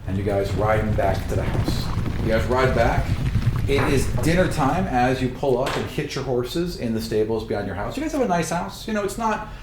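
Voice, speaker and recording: A man narrating a tabletop game as game master in a colloquial, animated way, describing action with sound words. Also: medium pitch, normal speed, noisy background. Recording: roomy echo, music behind.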